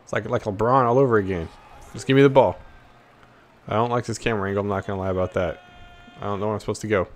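A man's voice: lowish voice, fairly even intonation, fast talker, long pauses. A man giving casual, slangy gameplay commentary, cocky and playful with plenty of trash talk. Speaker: gaming video host.

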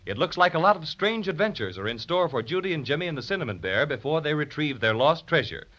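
Old timey radio announcer guy, bright and really animated, kids serial, dull 1930s recording. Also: on the high side, fast talker.

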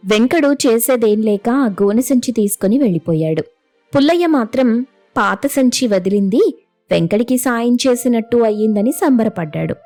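A female storyteller narrates a scripted moral tale. Her voice is mellow and a touch high, with light music playing underneath. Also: animated, moderate pace.

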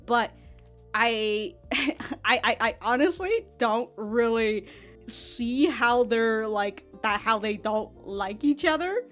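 A woman's voice: on the high side, expressive, slow pace, a bit bright, soft background music. Rambling young woman reviewing anime. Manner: rambling, laughs often, swears, repeats words. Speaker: anime reaction host. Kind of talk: casual review chat. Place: small room with anime backdrop. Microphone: large foam-covered studio mic in front.

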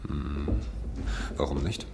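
Low-voiced sceptical lawyer. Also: male voice, slow pace, creaky, noisy background, exaggerated voice. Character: sober, sceptical male lawyer, colleague and narrator. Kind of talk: German radio drama acting.

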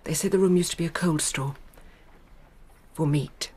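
Composed grieving English mother, formal Victorian manner, husky, for radio drama. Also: on the low side, normal speed, darkish tone. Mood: grave, restrained. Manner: formal, restrained.

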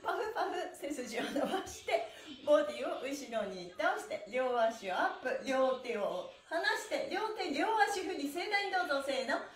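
Middle aged lady stretch instructor, really high swoopy voice, super peppy, echoey room. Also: very animated, normal speed. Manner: exuberant, cheerleading, calling out chants. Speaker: stretching instructor, mime school director. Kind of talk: live-streamed exercise class with counting chants. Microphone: camera mic a few metres away. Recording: echoey small room, camera mic.

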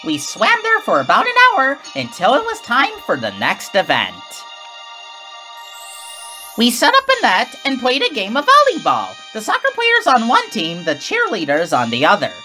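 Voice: medium pitch, huge pitch swings, normal speed, bright, forceful tone. A narrator reading a scripted children's comic read-along story aloud. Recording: music behind the voice. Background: music.

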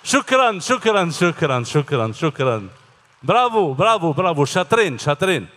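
A man's voice: a little high, sing-song, fairly quick, fairly bright tone, a little hiss or hum. A middle-aged man with an Algerian Arabic accent, hosting a children's stage show, cheerfully quizzing the kids. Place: large concert hall stage. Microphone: handheld mic. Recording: long hall reverb.

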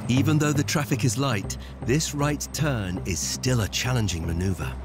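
Male narrator, scripted documentary delivery, mid-pitched, strong music bed underneath. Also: normal speed.

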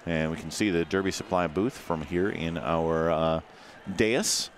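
A man's voice: low voice, unhurried, slight background noise. A man calling play-by-play for a live roller derby game, narrating the action. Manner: play-by-play, calls action, fillers like uh. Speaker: sports commentator.

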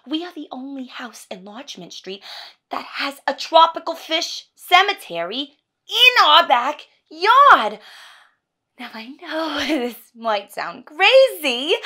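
A woman performing a theatrical monologue as an exasperated, sarcastic wife venting to her doctor, emphatic and swinging between hushed and loud. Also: on the high side, slightly rough, pitched above the performer's own voice, heavily acted.

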